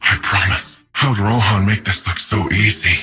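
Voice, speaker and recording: A man's voice: lowish voice, steady tone, moderate pace, sharp tone, faint music behind. An actor playing a big, cheerful, cocky young male city defender in a video game, with a breezy, slangy, eager and friendly manner. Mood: solemn.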